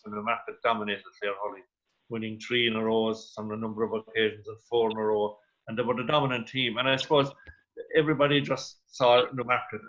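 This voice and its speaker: A man's voice: medium pitch, a bit bright. Irish man on dull, lo-fi link. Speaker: sports pundit, guest. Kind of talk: conversational sports discussion.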